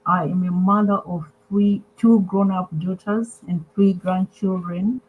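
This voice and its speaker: An older woman from Zimbabwe, now a student, introducing herself in a warm, courteous way. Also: mid-range voice, fairly even intonation, measured pace. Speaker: panel guest, student. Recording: tinny phone-like line with hum.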